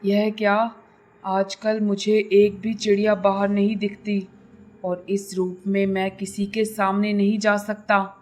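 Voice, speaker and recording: A woman voicing a male crow turned hungry demon in a cartoon moral story, speaking low and heavily in a slow, brooding way. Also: flat delivery, darkish tone, close to an everyday voice. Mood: scheming.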